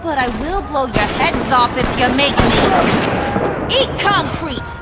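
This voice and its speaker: An actress playing a mischievous, gleefully violent villainess in a video game, taunting with slangy, playful menace. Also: female voice, sits high, animated, unhurried, heavy background noise. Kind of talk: dramatic video game acting.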